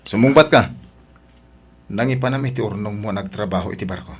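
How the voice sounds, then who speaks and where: A man's voice: lowish voice, a little fast, husky. A man playing a stern elder brother who questions and confronts in a family radio melodrama. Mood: stern, shocked. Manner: stern, interrogating.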